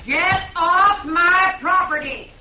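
A woman's voice: very high-pitched, takes it slowly, sharp tone, exaggerated voice. An actress playing a defiant, stubborn woman landholder who threatens intruders in an old radio western. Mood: defiant, threatening. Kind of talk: 1950s radio western acting. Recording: muffled, noisy, roomy 1950s radio recording.

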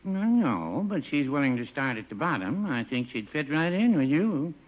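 An actor playing a mild, fussy older small-town shopkeeper in a radio comedy, with an American accent and a dry, hemming manner. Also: male voice, fairly high pitch, sing-song, normal speed. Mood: mildly coaxing. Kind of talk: 1950s radio situation comedy acting. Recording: tinny, noisy old 1950 radio recording.

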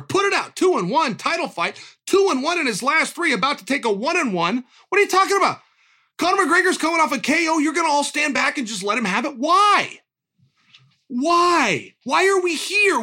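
A man's voice: very high voice, bright, forceful tone. A man, an MMA fighter, delivering a fired-up commentary rant that is rousing and full of pointed rhetorical questions.

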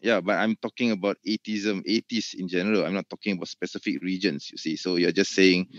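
A man from Malaysia in a heated debate, pushing back in halting bursts and tripping over repeated words. His voice is fairly low, and it comes through dull and lo-fi, dry, with no room sound. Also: fairly even intonation, no room sound. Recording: dull lo-fi audio.

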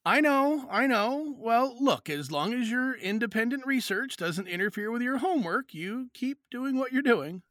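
A woman playing a good-natured, teasing middle-aged father in an audio drama, brisk and casual. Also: a little high, animated, fairly bright tone, dry recording, clean studio sound.

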